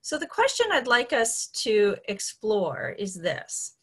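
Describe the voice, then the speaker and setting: A woman's voice: a little high, wildly swooping pitch, takes it slowly, slightly rough. A woman moderating an online panel discussion. She lays out the next steps in an orderly way. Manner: organized, guiding the panel through the steps.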